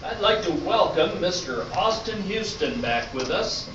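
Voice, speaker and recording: Slick, self-satisfied radio quiz host played by an amateur man, high-voiced and unhurried, showy announcer delivery. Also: very animated, a loud crowd. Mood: showy, upbeat. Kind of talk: amateur stage radio play. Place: hall at a live arts festival performance. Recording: distant, echoey, noisy dull live recording.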